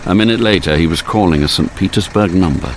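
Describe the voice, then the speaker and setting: Suave male secret agent acted, radio drama narration, deep register, slight background noise. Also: quick pace, close to an everyday voice. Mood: measured suspense. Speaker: narrator and lead actor in a radio spy drama.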